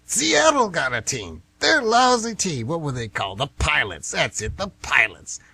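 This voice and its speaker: Man acting a husky, grumbling older baseball diehard. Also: fairly high pitch, very animated, normal speed, sharp tone, heavily acted. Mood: indignant. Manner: folksy, defensive, exclamatory. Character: stubborn, grumbling retired man of fifty-six, aggrieved baseball fan. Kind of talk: amateur radio theatre acting.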